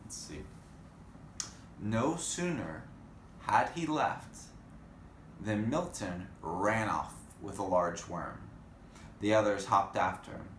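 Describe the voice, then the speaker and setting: A man with a slightly low voice reads a children's story aloud. He takes it slowly, with very varied intonation and chatty asides, in an echoey room. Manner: expressive, addressing young listeners. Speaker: storybook reader. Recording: echoey room, faint background noise.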